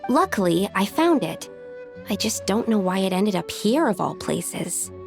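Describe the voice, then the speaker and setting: A woman's voice: medium pitch, sing-song, a little slow, gravelly, soft background music. A woman voicing a meticulous young female alchemist in a video game, explaining things earnestly. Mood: earnest, relieved. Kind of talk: video game character dialogue.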